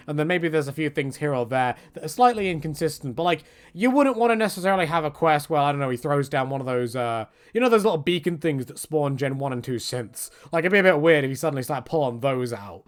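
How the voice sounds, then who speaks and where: A man giving casual, opinionated video game commentary in a rambling, joking, self-deprecating and slangy way. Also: on the high side. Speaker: gaming video creator.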